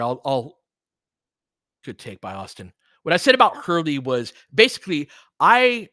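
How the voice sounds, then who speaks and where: A man's voice: on the high side, pushed and bright, dry recording. Man, dry close-sounding casual commentary. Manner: conversational, rambling, candid. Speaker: host doing audio play-by-play. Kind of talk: casual chatty game commentary with stories and asides.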